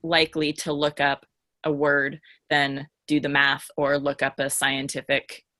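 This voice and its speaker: A woman with a bright, forceful edge to a fairly low voice chats in a group discussion. She is frank and matter-of-fact, speaking plainly and conversationally. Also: completely flat delivery, unhurried, no echo, clean studio sound. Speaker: podcast panelist.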